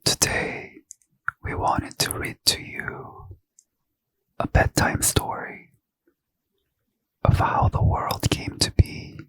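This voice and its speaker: Slow bright whispering man. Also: no echo, poor audio. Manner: slow, soft unvoiced whisper. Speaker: host. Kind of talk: ASMR scripture reading.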